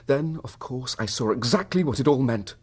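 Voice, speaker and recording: Victorian husband, British, husky mid-pitched voice, formal, earnest, emotionally wounded, period radio drama. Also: male voice, expressive, normal speed. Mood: agitated, suspicious. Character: troubled, proud, suspicious Victorian husband. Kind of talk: radio drama acting, narrating his own story.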